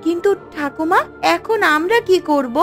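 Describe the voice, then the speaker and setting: A woman's voice: extremely high pitch, expressive, normal speed, faint music behind. A woman voicing an honest, wide-eyed little village granddaughter in a fairy-tale cartoon, exclaiming and questioning earnestly. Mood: anxious.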